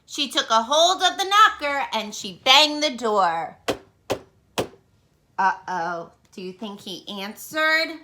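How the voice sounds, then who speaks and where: A woman storyteller reading aloud to children in an expressive way, putting questions to her young listeners. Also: on the high side, slow, noisy background. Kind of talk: animated children's read-aloud.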